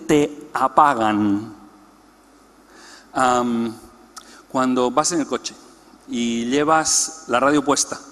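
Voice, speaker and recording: A man giving an explanatory teaching talk to a church congress, putting questions to the audience. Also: mid-range voice. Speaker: conference speaker. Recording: long hall reverb.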